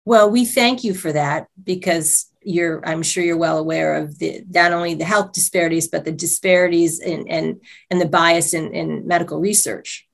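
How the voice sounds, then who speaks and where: A woman's voice: on the low side, fairly even intonation, normal speed, gravelly. A woman hosting an interview, asking guests questions and encouraging them, often repeating words as she speaks. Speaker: host.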